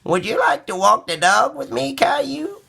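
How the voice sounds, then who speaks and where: A man's voice: very high-pitched, animated, normal speed, gravelly, bright, forceful tone, slightly rough, pitched above the performer's own voice, exaggerated voice. A man voicing a kindly, cheerful grandmother who encourages a small child in a storybook. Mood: kindly, encouraging.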